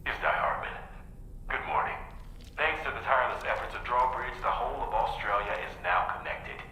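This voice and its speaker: An actor playing a loyal, assured commander giving a formal, encouraging message in a video game cutscene. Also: male voice, medium pitch, a little fast, pushed and bright, natural voice. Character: loyal male commander briefing a trusted operative. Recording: echoey, with faint background noise.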